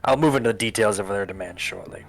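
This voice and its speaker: A man voicing a formal government adviser who briefs the president in a measured, expository way in a political game. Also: on the low side, creaky. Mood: formal briefing. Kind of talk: scripted political game voice-over. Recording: music under the voice. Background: background music.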